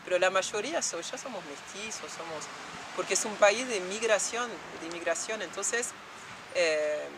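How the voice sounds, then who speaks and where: A woman's voice: mid-range voice, expressive, moderate pace, background noise. A woman from France in an interview, speaking reflectively with many fillers and restarts. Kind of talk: documentary interview.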